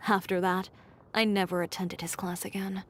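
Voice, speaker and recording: A woman's voice: mid-range voice, little pitch movement, moderate pace, natural voice. A woman voicing a blunt, haughty young fashion designer in a video game, speaking in a curt, dry and self-assured way. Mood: reflective. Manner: curt, dry, matter-of-fact, coolly condescending. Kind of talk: scripted game character voice lines.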